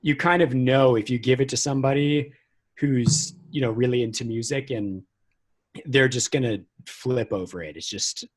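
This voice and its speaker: A man, a guitarist and producer, chatting as a podcast guest in a relaxed, agreeable way. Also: mid-range voice, normal speed, breathy edge. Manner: agreeable, laid-back, laughs easily. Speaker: guest musician. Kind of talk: casual conversation.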